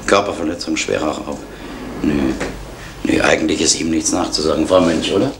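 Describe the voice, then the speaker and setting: A gruff post office boss in an old radio play, very deep and gravelly. He sounds dismissive. The recording is echoey and hissy. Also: normal speed. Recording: echoey room with hiss.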